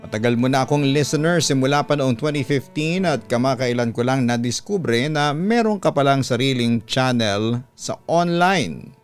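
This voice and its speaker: A man with a Filipino accent reading a listener's letter as a radio-style storyteller, smooth and resonant, in a measured, deliberate way. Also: mid-range voice, no echo. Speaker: radio announcer and story channel host. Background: background music.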